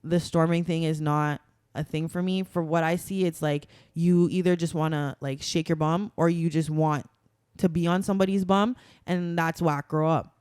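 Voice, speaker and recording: Young woman, podcast guest, smooth clear tone, dry close sound, emphatic and chatty. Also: low-pitched, fairly even intonation, normal speed, darkish tone, dry recording. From Toronto, Canada. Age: young adult. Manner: chatty and emphatic, many "like" fillers. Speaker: guest, carnival masquerader. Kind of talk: casual podcast conversation.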